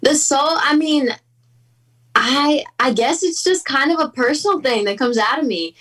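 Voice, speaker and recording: A woman's voice: high-pitched, a little slow, a bit bright, far from the mic. A young woman from Southern California chatting casually in an interview, upbeat with fillers. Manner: chatty, upbeat, many fillers and small laughs. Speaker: interview guest, singer.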